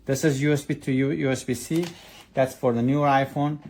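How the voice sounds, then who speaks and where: A man's voice: mid-range voice, fairly even intonation, fairly quick, a little mellow, a little hiss or hum. A man reviewing a product in a casual, hands-on way, explaining step by step with some hesitation. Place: indoors at a desk.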